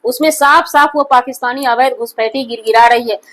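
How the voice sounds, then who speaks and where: A woman's voice: fairly high pitch, steady tone, a little fast, fairly bright tone. A woman gives opinionated news commentary in an emphatic, repetitive and accusatory manner. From India. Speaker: online commentary host.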